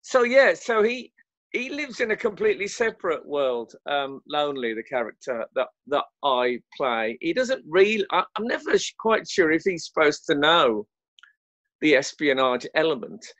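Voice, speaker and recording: A man's voice: a little high, very animated, moderate pace, a bit bright, no echo, highs cut off. A man, an actor chatting in a casual interview in a wry, hesitant way. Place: home, remote lockdown recording.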